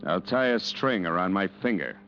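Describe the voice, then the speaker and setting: A muffled vintage radio recording carries a cynical noir schemer with a low voice. His talk is dry and sarcastic, clipped like a tough guy, yet moves widely in pitch. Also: lively intonation, normal speed. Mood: bitter, wry. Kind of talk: 1940s radio mystery acting. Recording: muffled old radio broadcast, organ music behind.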